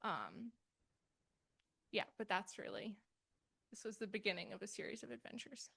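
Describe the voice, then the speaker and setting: An eighteen-year-old woman answering questions informally at a public meeting, a little halting as she thinks aloud. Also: medium pitch, very animated, vocal fry, bright, forceful tone, husky. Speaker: student program participant answering questions.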